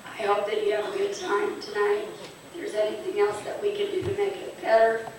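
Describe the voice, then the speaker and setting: A hoarse woman presenting awards at a fan club banquet, chatting informally and a little haltingly to the crowd. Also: medium pitch, completely flat delivery, slight background noise. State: losing her voice, hoarse. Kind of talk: speaking to a banquet crowd over a PA. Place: large banquet hall. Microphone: PA microphone recorded from a distance. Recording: distant echoey banquet hall.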